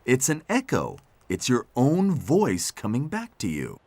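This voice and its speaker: A man's voice: medium pitch, huge pitch swings, measured pace, a little mellow, no echo, pitched above the performer's own voice, heavily acted. A man voicing a knowing, amused farm vehicle who explains things patiently in a children's storybook. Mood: amused, explaining.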